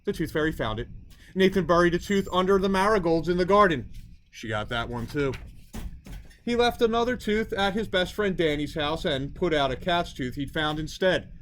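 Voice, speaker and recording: A man narrating a children's storybook as a storyteller, in expressive scripted narration. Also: high-pitched, moderate pace, slight background noise. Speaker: storyteller reading a children's book.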